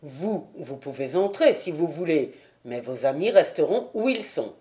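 A woman voicing a burly, stubborn male gatekeeper and former boxer with a gruff, blunt delivery in an audiobook story. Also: low-pitched, big pitch swings, a little slow, dark tone. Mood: suspicious, firm. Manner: firm, blunt.

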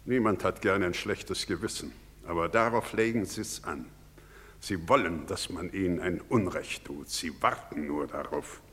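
A self-righteous townsman, pompous and dismissive. His lowish voice is bright and hard-edged. He lectures in stage drama style, with long hall reverb around him. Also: unhurried. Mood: smug indignation. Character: smug, prejudiced, self-righteous male townsman. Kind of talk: theatrical stage drama acting. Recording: reverberant theatre stage recording.

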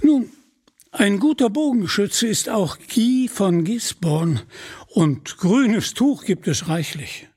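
A man voicing a calm, scheming, smug sheriff in a storybook, speaking in a quiet, sly manner. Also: high voice, slow, breathy edge, pitched above the performer's own voice, heavily acted. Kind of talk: storybook character acting.

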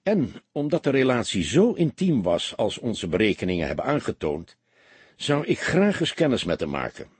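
A man voicing an older, experienced police detective in an audiobook, a patient mentor who explains deliberately with mild reproach. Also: fairly low pitch, big pitch swings, husky, no room sound, exaggerated voice. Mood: knowing, calm. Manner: didactic, deliberate, mildly reproachful. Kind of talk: audiobook character dialogue.

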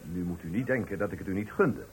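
An actor in a radio play playing a calculating, condescending company director, speaking formally with a husky voice. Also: male voice, sits low, fast talker, a little hiss or hum, natural voice. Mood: solemn speechmaking. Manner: formal, smooth-talking, patronising. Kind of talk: Dutch radio play acting.

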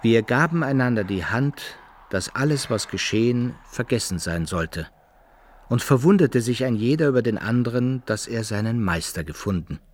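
An actor playing an adventurer who recounts his brawls and travels in measured, old-fashioned literary radio drama narration. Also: medium pitch, expressive, natural voice. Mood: measured recounting.

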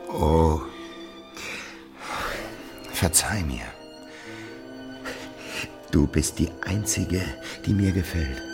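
An actor playing a suave, evasive gentleman lover in a radio drama, with a very deep, gravelly voice. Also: full character voice. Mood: ardent.

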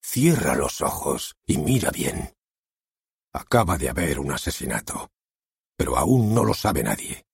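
Explosive male theater director, as a man puts him on, gravelly, husky, low, wildly swinging pitch, dry close recording. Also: no room sound, exaggerated voice. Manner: bombastic, swearing, theatrical.

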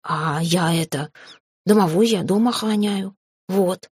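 A stocky, scrappy house spirit from a fantasy storybook, put on by a woman. He talks in folksy rustic phrases with a burred r, gruff yet easily flustered, recorded close and dry. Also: fairly low pitch, measured pace, a little mellow, dry recording. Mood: flustered, awed.

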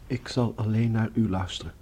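An actor playing a hypnotised soldier in a radio play, haltingly and obediently repeating his orders. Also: on the low side, lightly acted. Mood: dazed, obedient. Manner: halting, monotone, echoes orders. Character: hypnotised soldier, blank, obedient, drugged into servitude.

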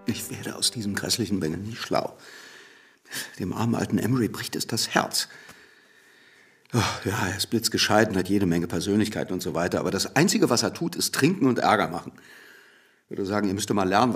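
An actor playing a wry, impatient lawyer in a radio drama, needling and insistent, with a gravelly, husky voice. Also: male voice, fairly low pitch, expressive, fairly quick. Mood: gruff, disapproving. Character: wry, impatient lawyer courting a married woman. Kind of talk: German radio drama acting.

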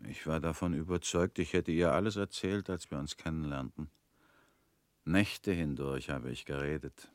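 An actor playing a bitter former convict in a radio play, talking in a measured, brooding way. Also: male voice, rumbling low, no room sound.

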